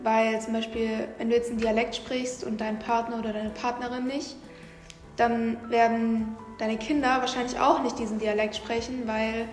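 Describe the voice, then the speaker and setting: Woman, higher flat voice, lecturing tone, echoey room with faint music. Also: normal speed. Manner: explains matter-of-factly, lecturing tone. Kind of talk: explanatory discussion.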